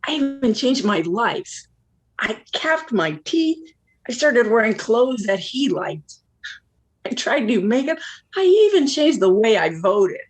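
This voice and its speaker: Jilted woman for one-act drama, played by an actress: slow, confessional, world-weary, deadpan with a bitter edge. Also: on the high side, breathy edge, exaggerated voice. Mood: bitter, devoted. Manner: candid, rueful, deadpan asides.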